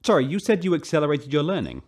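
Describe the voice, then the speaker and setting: An actor playing a middle-aged ship captain who speaks in a dry, officious way. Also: male voice, on the high side, huge pitch swings, fast talker. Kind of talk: sci-fi radio drama.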